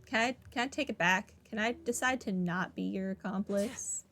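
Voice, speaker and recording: A woman's voice: mid-range voice, normal speed, fairly bright tone. A woman giving casual playthrough commentary as a gaming host, rambling and dryly sardonic. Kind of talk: casual playthrough commentary, reading dialogue aloud.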